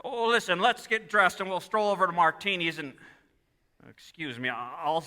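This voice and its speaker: Man acting bewildered small-town everyman, very high bright voice, stammering, folksy, radio play, reverberant hall. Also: moderate pace. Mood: dejected, bewildered. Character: agitated, bewildered small-town everyman wishing he was never born. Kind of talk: stage radio-play acting in 1940s manner. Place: theatre hall, live performance. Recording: live stage taping in reverberant hall.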